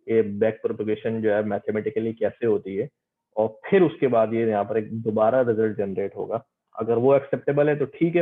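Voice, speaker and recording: A male teacher comes through a thin, phone-like line. His pitch is middling, and he explains in an instructional way, often repeating words and confirmations as he takes questions in an online lecture. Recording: muffled phone-like radio-quality audio.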